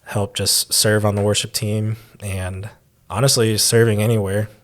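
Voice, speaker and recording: A young man who grew up abroad, answering interview questions as a church intern, with many pauses and restarts. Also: fairly low pitch, flat delivery, fairly bright tone. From raised in Taiwan, born in the United States. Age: young adult. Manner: hesitant, many ums and restarts.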